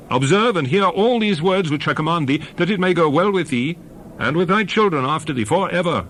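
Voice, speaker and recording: A man's voice: a little high, fairly bright tone, a little hiss or hum, rough recording. A man giving a dramatized scripted reading of scripture as narrator, in a solemn, declamatory and emphatic manner.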